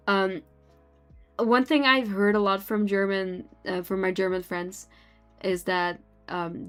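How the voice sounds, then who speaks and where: A young woman from the Netherlands with a Dutch accent, chatting casually with her stream while she draws, often laughing and trailing off mid-sentence. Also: medium pitch. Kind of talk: casual chat with chat while drawing.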